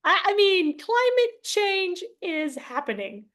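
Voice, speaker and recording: A woman's voice: very high voice, very animated, slow, fairly bright tone. A woman hosting an interview as a casual chat, informal and emphatic, repeating phrases for emphasis. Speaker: interview host.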